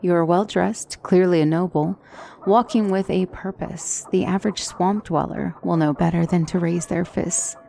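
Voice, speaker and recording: Female demonic tutor, played by a woman, fantasy ASMR roleplay: lowish, gravelly-edged voice, poised and courtly, a gently reassuring mentor. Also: fairly even intonation, a little hiss or hum. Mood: reassuring, instructive. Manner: formal, measured, courtly phrasing. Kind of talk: ASMR fantasy roleplay, scripted character acting.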